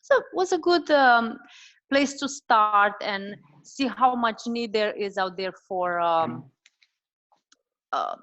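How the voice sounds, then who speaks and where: Woman with a Romanian accent, forties, mid-pitched, animated guest chat, many fillers and restarts. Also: slow, highs cut off. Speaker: dietitian guest. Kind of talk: casual interview chat.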